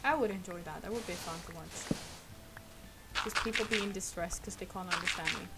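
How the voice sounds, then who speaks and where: A young woman with a Swedish accent, a native Swedish speaker, chatting casually and rambling softly while she plays a game on stream. She speaks with a sore throat. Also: on the low side, normal speed, over loud music, rough recording. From Sweden. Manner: rambling, soft, laughs often. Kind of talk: casual chat while gaming. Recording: loud noise behind the voice.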